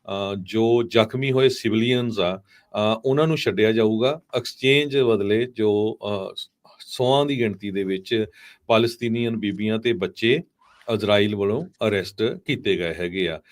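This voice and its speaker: A radio news presenter, a man. He relays live reports in halting phrases, mixing in English terms. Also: fairly low pitch.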